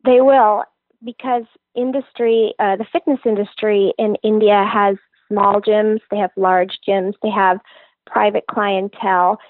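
A woman's voice: mid-range voice, steady tone, measured pace, no echo. Woman, fitness trainer, eager and earnest in interview, tinny phone-quality audio. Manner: earnest, enthusiastic explaining. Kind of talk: interview answers. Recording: tinny phone-like line, dry.

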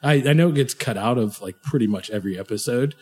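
Man, podcast co-host, husky, mid pitch, jokey banter. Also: quick pace, warm tone, in a large hall. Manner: joking, laughs often. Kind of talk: casual podcast chat.

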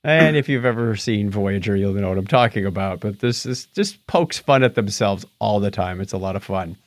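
A man's voice: on the low side, animated, normal speed, no echo. A middle-aged man co-hosting a podcast, chatting casually and wryly about films and TV shows, often poking fun at himself. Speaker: podcast co-host.

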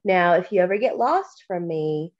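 A woman from Kentucky co-hosting a podcast, talking slowly and reflectively, with a stuffy nose from allergies. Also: fairly low pitch, lively intonation, lo-fi sound. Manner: slow, warm, reflective, with many pauses and fillers. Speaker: podcast co-host. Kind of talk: casual podcast chat.

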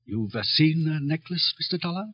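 An evasive middle-aged gentleman in a 1950s radio mystery. His low voice is husky and sharp-edged, rising and falling a lot as he stammers politely around the point. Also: animated, brisk. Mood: flustered, evasive. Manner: hesitant, stammering, overly polite. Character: nervous, evasive, genteel uncle of an heiress. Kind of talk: 1950s radio drama acting.

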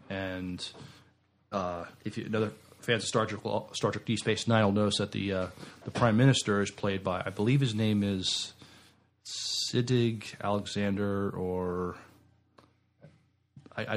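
A man co-hosting a podcast, discussing films casually and haltingly, searching for his words. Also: fairly low pitch. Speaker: podcast co-host.

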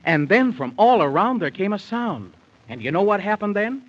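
A man's voice: sits high, very animated, normal speed, slightly rough. A man narrating a 1930s radio bedtime story in theatrical, folksy, sing-song rhyme. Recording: dull 1930s radio recording.

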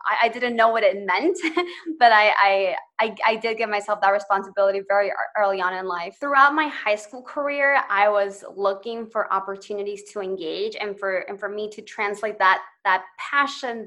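A woman in her twenties from Ecuador talks as a podcast guest. Her tone is bright and forceful, at a middle pitch. She tells her story earnestly and eagerly, laughing lightly between phrases. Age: 20s. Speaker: podcast guest, social entrepreneur. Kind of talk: personal storytelling in interview.

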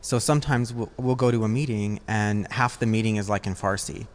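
A man with a fairly low voice talks as a guest in a casual interview. He speaks in a hesitant way, feeling for the right words as he explains things. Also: fairly even intonation, a little fast. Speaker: podcast guest, design business partner. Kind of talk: casual conversational interview answers.

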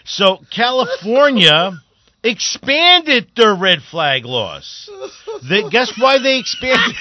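A man hosting a talk radio show, joking loudly and laughing often. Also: extremely high pitch, very animated, extremely slow, slight background noise. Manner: joking, loud, laughs a lot. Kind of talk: casual talk radio banter. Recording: dull lo-fi dry studio sound.

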